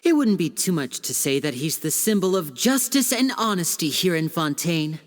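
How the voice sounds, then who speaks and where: A showy young stage magician. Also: male voice, fairly high pitch, sing-song, normal speed, slight background noise. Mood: polite. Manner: theatrical, charming showman. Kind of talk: video game cutscene dub. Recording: long reverb.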